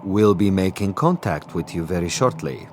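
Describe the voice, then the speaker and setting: An actor playing a suave, cruel Soviet commissar in a radio drama, theatrical and deliberate, with silky irony. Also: male voice, low voice, expressive, a little hiss or hum. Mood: silky menace. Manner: theatrical, deliberate, silkily ironic.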